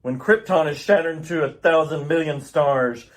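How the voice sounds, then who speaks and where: A somewhat high-pitched male scientist of a doomed world , acted by a man in retro radio-theater style. He is earnest and grave, declaiming with urgency as a devoted husband and father. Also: normal speed, lightly acted. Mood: defiant, grave.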